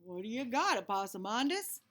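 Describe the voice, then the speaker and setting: A woman's voice: on the high side, big pitch swings, a little fast, fairly bright tone. Woman voicing a nosy, skeptical storybook animal. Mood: curious. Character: nosy, skeptical wild animals met along the road.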